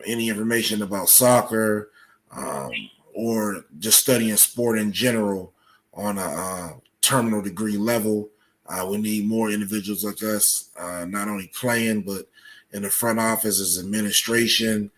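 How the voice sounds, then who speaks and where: A man speaking as an interview guest, explaining things in a measured, earnest way. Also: lowish voice, fairly bright tone. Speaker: podcast guest, soccer coach.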